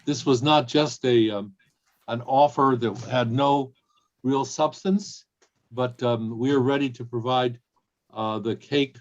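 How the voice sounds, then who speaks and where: A man leading a service over a video call, playful and hesitant with many ums. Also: medium pitch. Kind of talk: casual service hosting. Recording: dull, lo-fi call audio.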